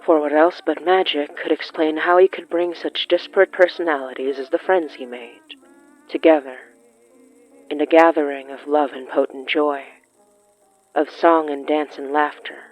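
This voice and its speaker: A narrator reading scripted horror fiction in a deliberate, literary way with dramatic pauses. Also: medium pitch, fairly even intonation, dull audio, soft background music.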